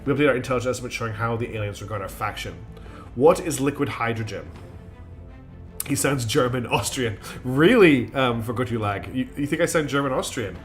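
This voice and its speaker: A man's voice: medium pitch, animated, a little fast, faint music behind. A man streaming a strategy game live. He thinks aloud in a chatty way, with many ums and restarts, and his nose is slightly blocked.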